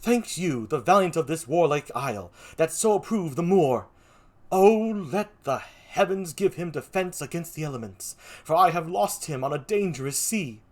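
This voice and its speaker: An earnest, courtly lieutenant from a Shakespeare play, put on by an amateur reader. The nobleman voice is affected and theatrical. Its pitch moves in broad, animated swings. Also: normal speed, natural voice. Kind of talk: theatrical Shakespeare read-aloud.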